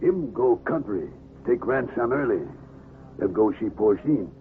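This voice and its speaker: A man's voice: mid-range voice, animated, unhurried. A man playing a loyal, stolid sidekick who speaks in clipped, broken English in an old-time radio adventure. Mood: stolid, watchful. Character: loyal, stolid male sidekick of a pirate hero. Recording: tinny band-limited vintage radio, hissy.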